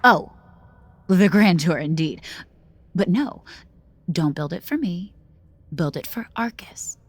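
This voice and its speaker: A woman's voice: lowish voice, lively intonation, slow, breathy edge, dry recording, heavily acted. A woman playing a spoiled, wilful young noblewoman in a fantasy audio drama, with a teasing, imperious delivery. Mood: dreamy, insistent. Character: spoiled, haughty, wilful young noblewoman pitching grand dreams.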